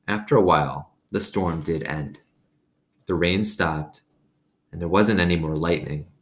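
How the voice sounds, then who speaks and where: A man reading a children's novel aloud as an expressive narrator. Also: sits low, a little slow.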